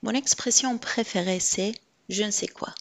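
A woman's voice: mid-range voice, moderate pace. A woman giving a prepared personal message that sounds read out. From Canada.

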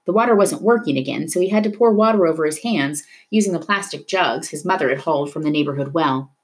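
A woman reading a novel aloud in an expressive storytelling style, dramatic at tense moments. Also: deep voice, fast. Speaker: reader of a children's novel. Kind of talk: expressive read-aloud narration of a book.

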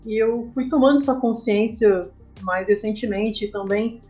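A woman's voice: a little high, normal speed, warm tone. Brazilian woman chatting over background music. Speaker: guest, comic artist. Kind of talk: casual interview conversation. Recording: music playing behind the voice.

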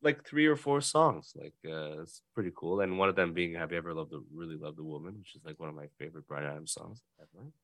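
A man co-hosting a podcast, bantering casually with a joking, deadpan manner. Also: deep voice, fast, hardly any pauses, no echo. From Canada. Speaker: podcast co-host.

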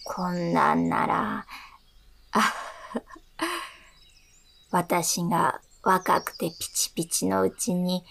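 An actress playing a gentle elderly wife, speaking slowly and quietly with nostalgia in a radio drama. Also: female voice, lowish voice, fairly even intonation, vocal fry, heavily acted. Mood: wistful, tender. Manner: slow, quiet, wistful.

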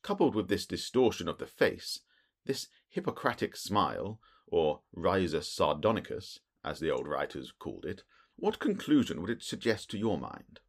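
A man's voice: deep voice, very animated, moderate pace, exaggerated voice. A man voicing a keen, self-assured detective in an audiobook, speaking in a precise, didactic and dry manner. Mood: brisk, questioning. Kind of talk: audiobook character voice.

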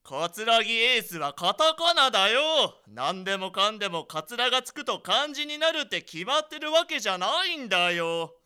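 A pompous, avuncular company president, cheerfully oblivious in a comic radio drama. The voice is very bright and forceful, high and crisply clear, with jovial airs. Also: male voice, very animated, normal speed, hardly any pauses, heavily acted. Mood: amused, oblivious. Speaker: voice actor.

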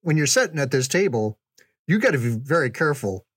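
An older man reminiscing in a casual, folksy way, unhurried, with pauses for emphasis. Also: a little high, big pitch swings, fairly bright tone, slightly rough, no echo, clean studio sound. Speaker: podcast storyteller, reminiscing father. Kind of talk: casual conversational storytelling.

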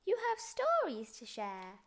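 A woman voicing a small, kind storybook mouse in a squeaky, comforting way. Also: huge pitch swings, unhurried, heavily acted. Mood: reassuring.

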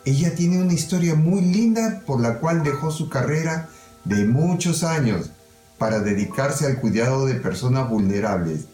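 A man hosting an interview, introducing his guest in a measured, formal way. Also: mid-range voice, animated, dark tone, echoey room, faint music behind. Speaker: host. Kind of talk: presenting an interview.

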